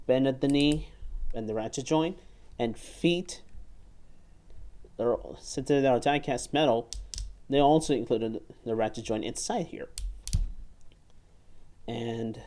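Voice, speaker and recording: A man in his twenties giving a casual, enthusiastic hands-on review, often halting and repeating words. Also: medium pitch, mellow. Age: 20s. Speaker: toy reviewer. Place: small bedroom, indoors.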